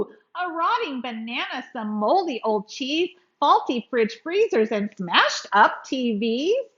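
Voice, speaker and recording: High, swooping woman storyteller, slow and expressive. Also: echoey room, dull audio. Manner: expressive, dramatic emphasis with drawn-out words. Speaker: children's book reader. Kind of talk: read-aloud storytelling.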